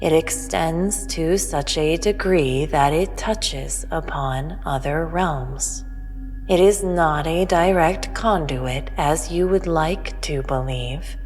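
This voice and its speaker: An otherworldly female intelligence, played by an actress, pronounces cosmic truths with calm superiority. Her voice is low and slow, formal and measured, in long reverb over music. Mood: cool, dismissive. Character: otherworldly all-knowing female entity, cryptic, calmly superior. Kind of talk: sci-fi audio drama.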